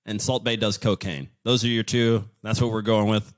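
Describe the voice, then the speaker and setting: A man hosts a podcast and rambles along in a casual, joking way, often poking fun at himself. His voice sits fairly low. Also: little pitch movement, moderate pace. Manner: joking, self-deprecating, rambling. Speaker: podcast host.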